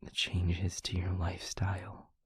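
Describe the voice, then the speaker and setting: A man playing a tender, haunted male vampire boyfriend in an intimate audio roleplay, speaking haltingly and earnestly in a breathy, husky hush. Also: sits low, completely flat delivery, exaggerated voice. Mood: tender, reassuring. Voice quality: breathy, husky near-whisper. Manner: halting, hushed, earnest delivery with heavy pauses.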